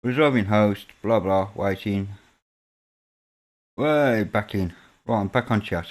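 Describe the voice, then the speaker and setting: A man's voice: fairly low pitch, slow pace. Chatty Brummie man hosting a stream. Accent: Birmingham. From England. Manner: chatty, stumbles and repeats words. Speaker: stream host. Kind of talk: casual chat on a live stream.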